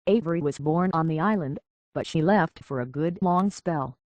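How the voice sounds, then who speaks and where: A man's voice: a little high, moderate pace, darkish tone, no echo, dull audio, lightly acted. An actor playing a plain-spoken, folksy island barkeep in a video game, blunt and concerned for his own people. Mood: opinionated. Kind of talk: video game character dialogue.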